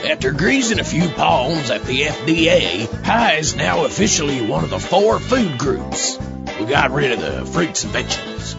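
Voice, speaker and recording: Hokey farmer pitchman, high-set voice, folksy drawl, radio commercial, dull audio with music. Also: very animated, moderate pace, vocal fry. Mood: folksy, cheerful pitch. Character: folksy rural pie-peddling farmer in a radio ad.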